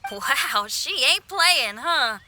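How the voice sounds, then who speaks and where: A woman's voice: sits high, animated, extremely slow, over loud music, heavily acted. A woman voicing a breezy, confident young female adventurer in a video game, with a folksy, casual, spirited manner. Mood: upbeat.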